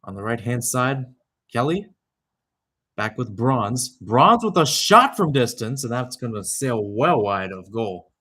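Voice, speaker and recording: A man's voice: medium pitch, sing-song, a little slow, pushed and bright. A young man giving live radio-style soccer commentary, mixing clipped calls of the play with conversational asides. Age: young adult.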